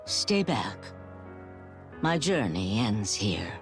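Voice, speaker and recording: Nora, a slow-spoken frail young woman. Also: extremely low pitch, big pitch swings, soft background music, exaggerated voice. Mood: resigned, defiant. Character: Nora, frail dying young woman passenger, stubborn and resigned. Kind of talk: dramatic video game cutscene acting.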